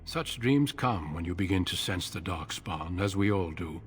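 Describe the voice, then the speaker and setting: A man voicing a grave, solemn commander who speaks in measured tones. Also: low-pitched, lively intonation. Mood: calm. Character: grave middle-aged male warrior commander, solemn. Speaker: game character.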